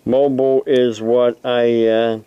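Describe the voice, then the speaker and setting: Slow, halting man talking to camera. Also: medium pitch, little pitch movement, smooth, no echo, highs cut off. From United States. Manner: halting, with long pauses between short phrases. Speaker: amateur radio operator and preacher making a video. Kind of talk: unscripted explanatory vlog.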